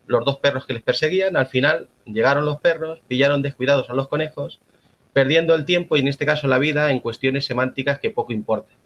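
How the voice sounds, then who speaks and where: A man from La Mancha with a Spanish accent giving a formal, measured conference talk as an agronomist. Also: a little high, fairly bright tone, muffled recording. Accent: Spanish (Spain). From La Mancha, Spain. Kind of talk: prepared conference presentation.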